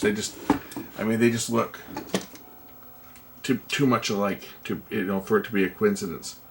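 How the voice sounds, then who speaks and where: A man's voice: on the low side, a little hiss or hum. A man giving casual, hesitant commentary, thinking aloud as he works hands-on at a repair job. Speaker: host of a radio repair video. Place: indoor electronics workbench.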